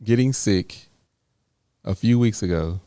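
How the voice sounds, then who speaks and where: A man's voice: lowish voice, a little slow, mellow, clear, resonant tone, no echo. A man co-hosting a podcast in casual, plainspoken chat, laid-back and teasing. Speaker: podcast co-host.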